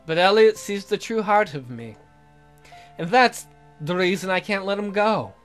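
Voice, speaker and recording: Male game host, very high voice, theatrical reader of romance narration, music underneath. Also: expressive, measured pace. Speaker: gaming video host. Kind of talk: reading visual novel narration aloud.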